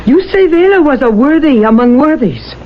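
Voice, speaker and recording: An actress playing a proud, sceptical, argumentative woman in a radio drama, sarcastic and sharp-tongued. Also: sits high, lively intonation, normal speed. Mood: challenging. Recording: muffled, noisy old radio recording.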